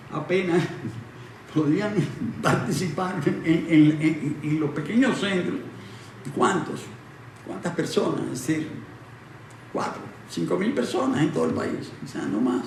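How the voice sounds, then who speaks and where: Echoey, distant man explaining history. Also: fairly high pitch. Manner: halting, reflective, repeats phrases as he explains. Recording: very echoey room, distant mic, faint noise.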